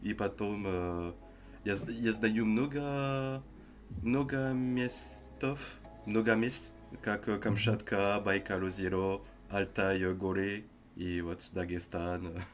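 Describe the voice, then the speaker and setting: Young man, low-ish voice, halting learner on a webcam mic. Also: fairly even intonation, soft background music. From France. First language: French. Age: 20s. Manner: halting, hesitant with many fillers. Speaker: language learner on a video chat. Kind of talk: casual video chat in a learned language.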